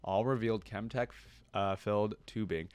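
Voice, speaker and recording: A man's voice: on the low side, normal speed, a little mellow, clean tone. A man hosting a reaction video, reading a story aloud and commenting casually as a fan. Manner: informal slangy fan talk.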